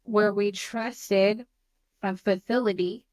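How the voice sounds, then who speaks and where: Earnest mother with a naturally loud voice. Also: medium pitch, fairly even intonation, no echo, clean studio sound. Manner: earnest, open, halting. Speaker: mother of a teen on a family counseling show. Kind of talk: candid conversation.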